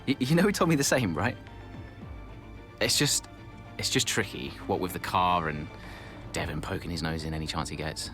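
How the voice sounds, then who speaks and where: Man playing a low-voiced, doubting racing driver. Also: moderate pace, pauses often, close to an everyday voice. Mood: resigned. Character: frustrated, doubting young male racing driver. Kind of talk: video game phone conversation. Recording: music behind the voice.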